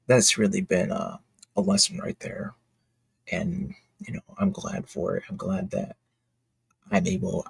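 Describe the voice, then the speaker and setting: A man giving casual, unscripted commentary as a creator, with a husky, gravelly voice and a halting, rambling, self-correcting way of talking. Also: fairly low pitch, dry recording. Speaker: indie manga creator and narrator.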